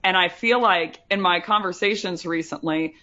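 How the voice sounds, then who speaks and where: Podcast co-host, female, bright forceful tone, chatty, dull lo-fi sound. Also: lowish voice, moderate pace. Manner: chatty, lots of fillers like "like" and "you know". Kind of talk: casual podcast chat.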